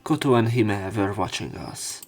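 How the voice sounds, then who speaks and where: A man's voice: fairly low pitch, expressive, measured pace, a little mellow. Man narrating a visual novel playthrough. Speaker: let's play narrator. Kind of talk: reading visual novel translation aloud with commentary.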